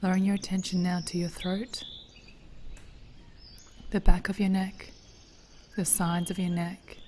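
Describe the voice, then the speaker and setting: A woman leading a guided body scan meditation in a gentle, soothing, breathy voice that drifts toward a whisper. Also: on the low side, flat delivery, a little slow, a little hiss or hum.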